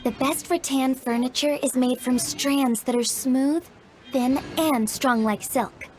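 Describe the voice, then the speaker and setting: This is a cheerful young female artisan from a video game. She sounds sweet and eager, chatting in a high, light voice. Soft music plays faintly underneath. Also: steady tone, slow. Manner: sweet, upbeat sales patter.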